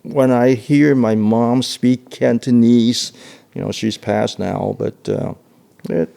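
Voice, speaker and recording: An older man from Hong Kong giving an oral history interview, speaking haltingly with frequent repeated words and laughs. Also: medium pitch, fairly even intonation, gravelly, warm tone.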